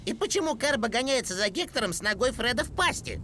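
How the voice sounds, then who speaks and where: A man voicing a small, fussy green wish-granting creature in a dubbed cartoon, talking in eager, excitable bursts. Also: extremely high pitch, fast, slight background noise, full character voice. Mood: excited. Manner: bouncy, exclamatory, eager. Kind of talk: cartoon dub acting.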